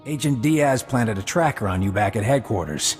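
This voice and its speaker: An actor playing a dry, authoritative intelligence officer in a video game, matter-of-fact, in a reverberant space. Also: male voice, mid-range voice, lively intonation, moderate pace, darkish tone, soft background music, natural voice. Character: composed, dry-humoured male intelligence squad leader.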